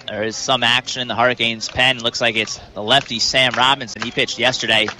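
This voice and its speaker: Forceful American male baseball color commentator. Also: medium pitch, flat delivery, brisk. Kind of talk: live analytical sports commentary.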